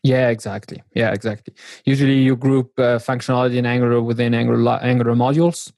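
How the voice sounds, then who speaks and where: A man from northern Italy, a software developer explaining things as a podcast guest. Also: medium pitch, fairly even intonation, fast, dry recording, clean studio sound. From South Tyrol, northern Italy. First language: German. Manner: explanatory, with restarts. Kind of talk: casual technical chat.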